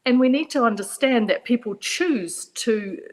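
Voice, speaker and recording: A middle-aged woman with a New Zealand accent, a psychologist speaking as a guest on a radio panel in a measured, reflective way. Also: on the high side, a little mellow. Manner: measured, reflective, repeats points for emphasis.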